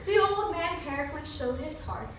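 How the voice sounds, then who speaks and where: A woman's voice: sits high, lively intonation, moderate pace. A woman performing scripted theatrical narration as a readers theatre performer. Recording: very echoey room, distant mic, faint noise.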